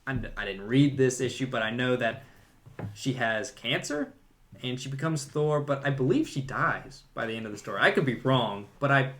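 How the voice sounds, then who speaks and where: A man's voice: mid-range voice, normal speed, a bit bright. A man co-hosting a podcast, talking casually in a film discussion. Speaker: podcast co-host.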